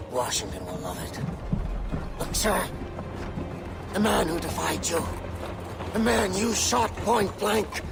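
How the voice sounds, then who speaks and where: An actor playing a scheming, cruelly gleeful colonial general in a video game, with mocking, theatrical delivery. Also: male voice, sits high, vocal fry, heavily acted. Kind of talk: video game cutscene acting.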